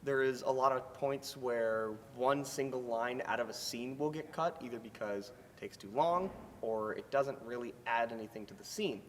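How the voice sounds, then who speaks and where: A man's voice: mid-range voice, normal speed, few pauses. A teenage male student actor giving casual interview answers. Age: teens. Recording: echoing hall, faint background noise.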